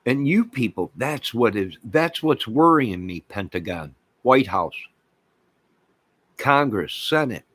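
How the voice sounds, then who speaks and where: A man's voice: medium pitch, lively intonation, slow pace, a bit bright, no echo. A man with an American accent hosting an online channel, giving an opinionated, emphatic rant to his viewers and often repeating phrases for weight. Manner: emphatic, repeats phrases, mocks opposing views sarcastically. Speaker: online video channel host.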